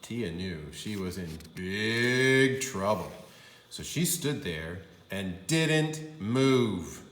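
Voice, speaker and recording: A man reads a children's story aloud in a deliberate, emphatic way. His pace is slow and his pitch moves in big swings. The room is noticeably echoey. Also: animated, fairly bright tone, a little hiss or hum. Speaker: kindergarten teacher. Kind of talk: expressive storybook read-aloud for young children.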